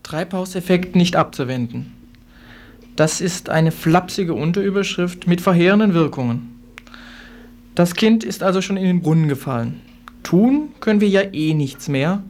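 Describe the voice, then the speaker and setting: A man's voice: sits high, expressive, moderate pace, a little mellow, a little hiss or hum. A man reading a scripted radio commentary as an expert, in formal, emphatic wording.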